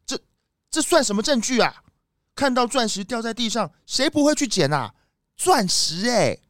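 Woman as a high-voiced, whiny, sly fox thief. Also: animated, normal speed, no echo, clean studio sound, natural voice. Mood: evasive. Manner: whiny, protesting, dramatic. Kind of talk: children's audio drama acting.

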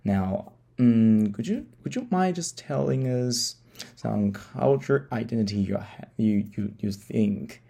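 A man hosting a podcast interview with halting delivery, often stumbling over and repeating words, in a gravelly voice. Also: fairly low pitch, animated, mellow. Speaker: podcast host. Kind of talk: interview, reading a guest introduction then asking questions.

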